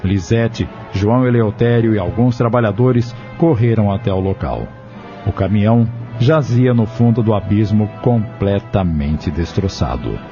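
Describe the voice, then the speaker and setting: A man narrating a scripted audio drama in a measured, dramatic storytelling manner. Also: on the low side, dark tone. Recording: dull lo-fi audio with music underneath.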